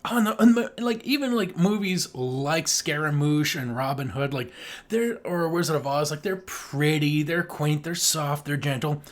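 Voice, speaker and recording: A man with a slightly high voice chats as a podcast co-host. His casual film talk is gushing and emphatic, with salty language. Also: normal speed. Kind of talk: casual film review chat.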